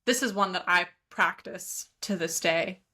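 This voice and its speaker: A woman's voice: fairly low pitch, measured pace, sharp tone. A young American woman co-hosting a podcast, chatting casually and explaining things with lots of fillers. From United States. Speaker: podcast co-host. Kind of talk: casual chat.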